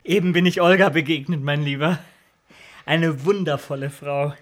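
Guy acting a spoiled flippant count, bit high voice, gushing and affected, radio drama womanizer. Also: fairly even intonation, moderate pace, slight background noise, natural voice. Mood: lecherous, playful. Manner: affected, effusive, self-indulgent. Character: dissolute, flippant Russian count, boastful womanizer, theatrically indignant.

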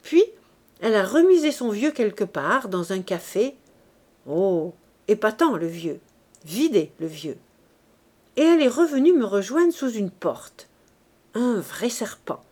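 A boastful, sly man in his prime speaks loudly and teasingly, as a woman reading the story puts him on. His voice rides high and bold as he spills gossip, for a storybook reading.

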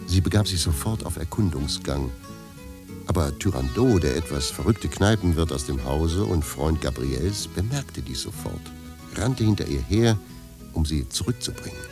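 A man's voice: a bass voice, expressive, moderate pace, over music. A man narrating a scripted story as the narrator of a radio play.

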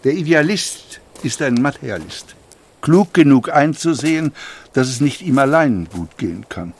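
An actor playing a principled, plain-spoken early twentieth-century industrialist in an audio documentary, deliberate, emphatic and didactic in delivery. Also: male voice, mid-range voice, animated, slightly rough. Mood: firm conviction. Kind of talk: acted quotation in an audio documentary.

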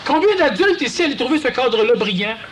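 A man with a Quebec French accent giving a lively, conversational talk to an audience and acting out quoted voices. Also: very high voice, quick pace, crowd noise. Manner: animated, acts out quoted voices. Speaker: lecturer.

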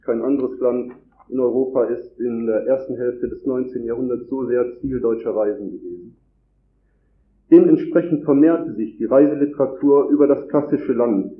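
A man with a cold gives a formal academic lecture at a conference, reading long, measured sentences from a script. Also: medium pitch. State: has a cold. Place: conference hall. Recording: echoey hall over a tinny, phone-like line.

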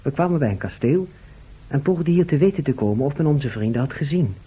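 A man playing a time traveller who narrates his adventure in a radio play, measured and suspenseful. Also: on the high side, lively intonation, slightly rough. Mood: grave, suspenseful. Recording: muffled, phone-like old radio sound.

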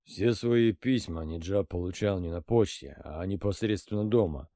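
Man voicing a middle-aged intelligence colonel, low dark voice, measured, matter-of-fact, audiobook. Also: no echo, studio quality, close to an everyday voice. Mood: even. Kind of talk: audiobook character reading.

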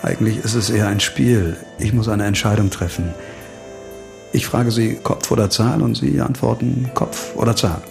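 A laconic, dogged investigator in a radio crime drama. The voice is low and gravelly, the lines terse and understated. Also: male voice, normal speed, soft background music. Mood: tender, hesitant.

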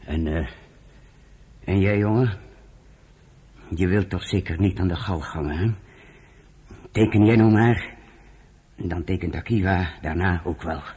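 A man playing a reluctant, persuasive British general in a Dutch radio drama, husky, earnest and coaxing. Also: deep voice, unhurried, long pauses, dark tone. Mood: troubled pleading.

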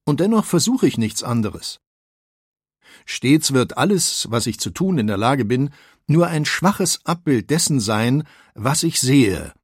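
An actor playing an earnest, self-doubting artist who reflects in a measured way, in an audio biography. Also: male voice, mid-range voice, big pitch swings, slightly rough, dry recording, studio quality. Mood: reflective, resigned. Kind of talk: dramatised first-person quotation in an audio biography.

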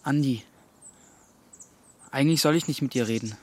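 A male actor plays a teenage boy in a radio drama, medium-pitched and youthful. He is curious and a little stubborn, talking in a plain, thoughtful, dreamy way. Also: moderate pace, a little mellow, close to an everyday voice. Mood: guarded, matter-of-fact. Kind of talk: naturalistic German radio drama acting.

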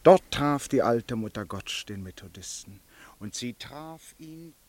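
A man's voice: medium pitch, animated, measured pace, natural voice. A man acting a shrewd, playful amateur sleuth in a German radio play, explaining things in a teasing, theatrical way. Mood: wistful storytelling. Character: shrewd, playful amateur sleuth who loves telling stories.

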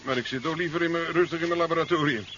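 An actor playing an exasperated, brusque scientist with a dry sardonic streak in a 1970s radio drama. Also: male voice, on the high side, rapid-fire, lightly acted. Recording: muffled, noisy 1970s radio drama recording.

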